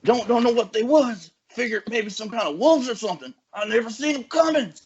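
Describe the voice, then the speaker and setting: A man's voice: very high-pitched, animated, brisk, darkish tone, breathy edge, full character voice. A man voicing a weak, dying old farmhand with folksy rustic speech in an amateur table read. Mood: weak, shaken. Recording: dull lo-fi audio with faint noise.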